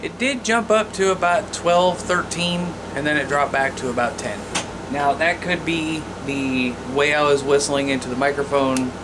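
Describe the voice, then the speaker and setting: A man's voice: a little high, steady tone, moderate pace. American man, casual demo, noisy background. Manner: informal, plain-spoken. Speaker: radio hobbyist presenter. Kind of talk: casual hands-on gear demonstration.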